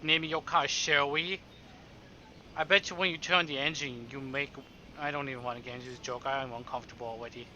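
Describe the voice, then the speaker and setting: A man's voice: on the high side, bright, forceful tone, slight background noise. A man streaming a visual novel, reading narration aloud and cracking playful, sarcastic comments in between. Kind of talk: live narration mixed with joking commentary. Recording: dull lo-fi stream audio over music. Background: game music.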